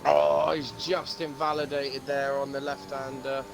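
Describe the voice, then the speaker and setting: A man's voice: medium pitch, flat delivery, a little slow, vocal fry, over music. A man co-commentating a live online race, bantering and greeting viewers from chat. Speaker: sim racing co-commentator. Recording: race game engine noise behind voice.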